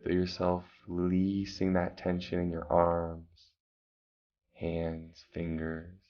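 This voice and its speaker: Deep gravelly man guiding meditation. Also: dull audio. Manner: calm, slow instructions with long pauses. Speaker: meditation guide. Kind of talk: guided meditation.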